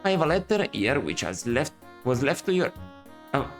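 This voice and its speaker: A man's voice: on the high side, very animated, normal speed, faint music behind. Man doing a courteous hotel manager voice for a game playthrough, proper and stiff. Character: polite, formal male hotel manager.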